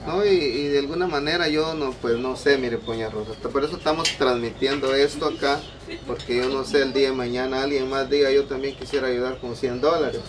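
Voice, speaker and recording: A man's voice: mid-range voice, steady tone, fairly quick, crowd noise. A man in his thirties with a Guatemalan Spanish accent, hosting a casual interview on the patio of a home, friendly and full of fillers. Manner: friendly, talks with many fillers like "va" and "pues". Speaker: host of a charity video channel. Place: outdoor concrete-block patio of a home. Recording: distant camera sound, birds and ambience behind.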